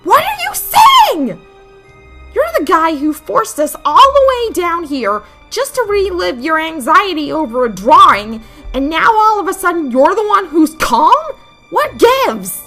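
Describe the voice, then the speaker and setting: Exasperated, challenging female lead played by a woman, bright and very lively, for audio drama. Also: on the high side, measured pace, breathy edge, exaggerated voice. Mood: exasperated, alarmed. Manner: pointed, challenging questioning. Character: exasperated, outspoken woman confronting her stubborn partner. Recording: music behind the voice.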